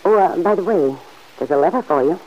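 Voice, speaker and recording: A woman playing a kindly middle-aged farm aunt who gently chides the man in her home, in 1940s radio acting. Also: lowish voice, lively intonation. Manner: gently chiding, motherly, quick to laugh. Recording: dull old radio recording.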